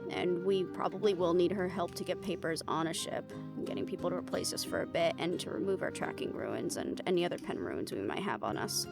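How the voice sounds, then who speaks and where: A woman's voice: lowish voice, a little fast, vocal fry, hardly any pauses. An actress playing a wary, protective and responsible woman hunter in a fantasy audio roleplay, blunt and skeptical in manner. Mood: blunt, practical. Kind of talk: scripted audio roleplay drama. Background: background music.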